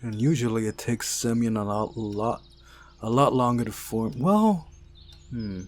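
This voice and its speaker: A man reading visual novel narration aloud in a measured, soft dramatic way, as a playthrough host. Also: medium pitch. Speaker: host of a game playthrough channel. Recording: music behind the voice. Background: game music.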